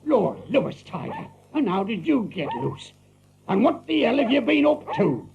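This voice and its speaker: An actor playing a gruff, stingy farmer and father in an audio drama, with rustic, grumbling, colloquial speech. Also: extremely high pitch, big pitch swings, moderate pace, heavily acted. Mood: grumbling, irritated. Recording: muffled audio drama with faint noise.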